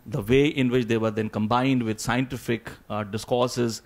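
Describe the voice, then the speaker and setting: Halting man giving a deliberate academic answer. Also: medium pitch, fairly even intonation. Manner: halting, deliberate, repeats words. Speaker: symposium panelist.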